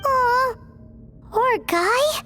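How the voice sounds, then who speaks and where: A woman's voice: extremely high pitch, animated, drawn-out words, music in the background, heavily acted. A woman voicing a tiny, earnest, childlike fairy companion in a video game, speaking in an exclamatory way. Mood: pitying. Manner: exclamatory, refers to self in third person.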